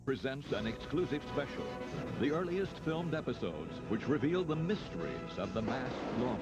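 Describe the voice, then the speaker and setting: A man's voice: mid-range voice, very animated, moderate pace, over loud music. A man announcing in a formal, declamatory old broadcast style. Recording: dull lo-fi archive broadcast.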